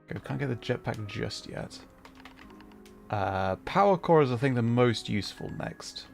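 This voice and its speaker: A man hosting a casual gaming livestream, talking in a hesitant, measured way as he plays. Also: mid-range voice, expressive, vocal fry. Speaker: livestream host, gamer. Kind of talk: casual livestream chat while playing a video game. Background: music.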